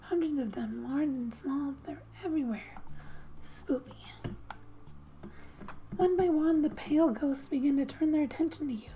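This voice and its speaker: A woman narrating a game in soft-spoken ASMR style, often dropping into a breathy whisper, reading gently like a storybook with quiet asides. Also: sits high, moderate pace, long pauses. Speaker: gaming ASMR video host.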